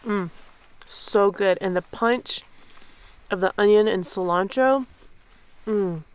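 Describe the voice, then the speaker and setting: A woman's voice: mid-range voice, slow pace, far from the mic. A woman casually narrating each step as she cooks outdoors at night beside a camper kitchen. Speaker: cook and channel host. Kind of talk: casual cooking demo.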